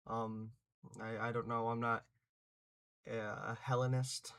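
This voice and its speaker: A man's voice: medium pitch, level tone, slow, creaky, fairly bright tone, clean tone. A young man talking casually and unscripted, full of hesitations, restarts and fillers, now and then swearing for emphasis. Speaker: channel host giving opinions.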